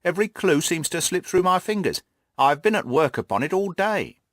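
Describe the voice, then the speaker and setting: A man voicing a gruff, irritable, cocksure police detective in an audiobook, blustering and snappish. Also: high-pitched, expressive, moderate pace, a bit bright, no echo, studio quality, pitched above the performer's own voice. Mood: frustrated. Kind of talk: audiobook character dialogue.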